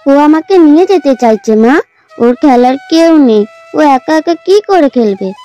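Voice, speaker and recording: Soft, high-pitched little ghost girl. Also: expressive, measured pace. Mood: gentle pleading. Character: calm, innocent little girl ghost pleading with her mother. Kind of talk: dramatized horror story acting. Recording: dull lo-fi audio over music.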